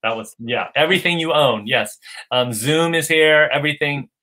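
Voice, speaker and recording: A man's voice: fairly high pitch, moderate pace, pushed and bright. A man from California chatting casually on a video call, rambling enthusiastically with many restarts. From United States, California. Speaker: guest. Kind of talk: casual livestream chat.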